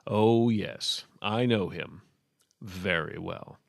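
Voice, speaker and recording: Man playing a playful, knowing, gravelly rogue. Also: sits low, takes it slowly, no room sound, studio quality, full character voice. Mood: amused. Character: amused, flamboyant, teasing man with deep voice. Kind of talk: storybook character voice.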